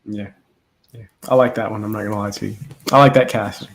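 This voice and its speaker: A man co-hosting a podcast, chatting casually about films in a slangy, animated storytelling way. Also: on the low side, fast talker, a little hiss or hum. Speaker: podcast co-host. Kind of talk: casual film review chat. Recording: roomy echo on the voice.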